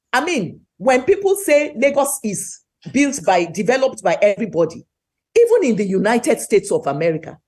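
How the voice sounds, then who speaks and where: A woman's voice: fairly high pitch, darkish tone. A middle-aged Nigerian woman with a Nigerian accent, giving an impassioned, emphatic talk at a webinar and repeating words for stress. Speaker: webinar speaker.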